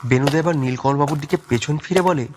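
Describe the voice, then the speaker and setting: A man's voice: fairly high pitch, brisk, noisy background. A man narrating a scripted audio story in a dramatic storytelling style.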